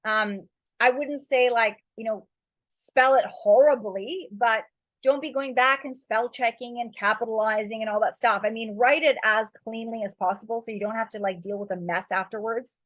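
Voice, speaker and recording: A woman's voice: a little high, moderate pace, fairly bright tone, dry recording, rough recording. A woman author giving casual, encouraging writing advice in a loose, rambling way, full of fillers and spiritual turns of phrase. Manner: loose, rambling, heavy with "like" and "you know".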